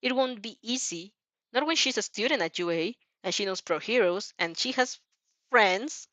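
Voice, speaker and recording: A woman's voice: medium pitch, lively intonation, moderate pace, fairly bright tone, dry recording, close to an everyday voice. A woman voicing an aggravated, scornful teenage boy student in an amateur fan fiction reading, scolding and incredulous. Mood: determined. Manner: scathing, incredulous.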